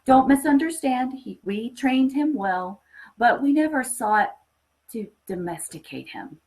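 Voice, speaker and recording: A woman voicing a soft-spoken, secretive elderly man in a horror story reading, with halting, measured phrasing. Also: sits high, lively intonation, pitched above the performer's own voice. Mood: earnest worry.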